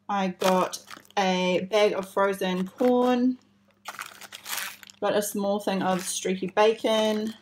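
A young woman casually chatting through her grocery haul at a kitchen table, with lots of ums and uhs. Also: medium pitch. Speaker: vlogger showing a grocery haul. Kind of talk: casual chat. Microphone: phone camera held over the table.

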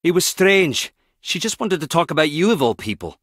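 An actor playing a wry, guarded skip tracer in a video game, teasing yet protective, speaking in a clipped, conversational way. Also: male voice, on the high side, big pitch swings, normal speed, a bit bright, dry recording, clean studio sound. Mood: serious. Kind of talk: video game character dialogue.

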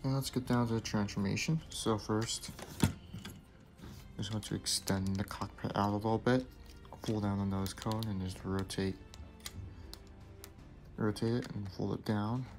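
A man's voice: on the low side, steady tone, unhurried, pauses often. A young man casually showing off a toy he built, giving step-by-step instructions with small asides and self-corrections. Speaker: toy builder reviewing his creation. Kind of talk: casual hands-on toy review narration. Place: basement room indoors. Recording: music playing in the background.

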